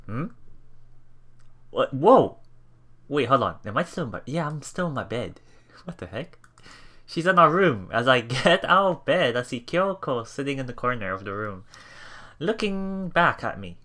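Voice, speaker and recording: A man hosting a let's play, reading game text aloud and commenting casually in a halting, think-aloud way. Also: mid-range voice, big pitch swings, bright, forceful tone. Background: game music.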